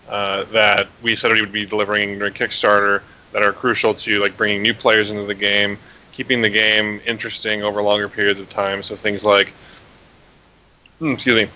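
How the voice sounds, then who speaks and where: A tired game developer, a man whose voice is a little raspy, rambling with many fillers as a guest on a call. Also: on the low side, bright, forceful tone. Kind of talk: casual interview over call. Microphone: remote voice call. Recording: dull hissy call audio.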